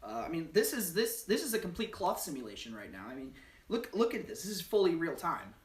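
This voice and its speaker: A woman co-hosting a mock-serious joke interview, talking deadpan and halting, with fillers and restarts. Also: lowish voice. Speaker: channel co-host. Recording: roomy, distant mic.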